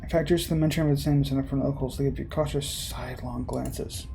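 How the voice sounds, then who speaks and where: A person reading visual novel narration aloud, breaking off for informal, halting commentary. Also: medium pitch, fairly even intonation, gravelly, mellow, a little hiss or hum.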